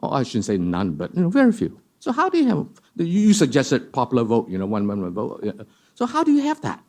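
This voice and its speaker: A man's voice: mid-range voice, wildly swooping pitch, quick pace, darkish tone. A man telling a story at a panel discussion, emphatic and fond of rhetorical questions. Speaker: panel guest. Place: event hall.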